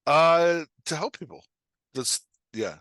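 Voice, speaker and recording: A man's voice: on the high side, expressive, takes it slowly, fairly bright tone, dry recording. A man talking casually as a podcast guest and writing coach, rambling as he explains, peppering it with "like" and imagined quotes. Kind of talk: casual conversation, explaining and joking.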